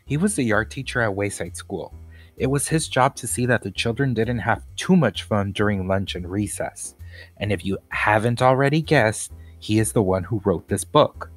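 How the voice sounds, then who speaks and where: A man, a teacher, reading a children's storybook aloud with steady, plain narration. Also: lowish voice, moderate pace, no room sound, faint music behind.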